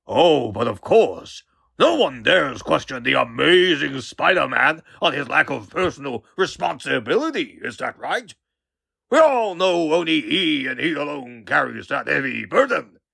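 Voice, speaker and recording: Pompous older male press baron, comic dub, theatrical bluster, forceful gritty delivery, dry close sound. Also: on the high side, very animated, measured pace, gravelly, husky, dry recording, exaggerated voice. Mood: indignant. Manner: bombastic, theatrical, grandiloquent. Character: pompous, blustering older male newspaperman turned podcast host. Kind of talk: comic book dub acting.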